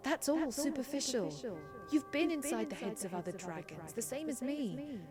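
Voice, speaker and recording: A woman acts as a young female dragon with a slightly high voice. She is empathetic and earnest, hesitant one moment and firmly defensive the next. Music plays clearly behind her audio drama lines. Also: expressive, normal speed.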